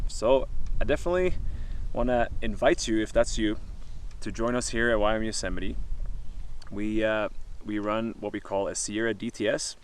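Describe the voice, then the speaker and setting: A man from Norway, speaking casually and earnestly to the camera outdoors above a mountain valley, with many ums and uhs. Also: on the low side. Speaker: missionary vlogger.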